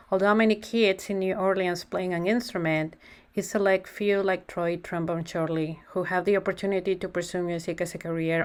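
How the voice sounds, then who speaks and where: A woman's voice: on the low side, clear, resonant tone. A woman narrating a children's picture book aloud. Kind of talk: storybook read-aloud narration.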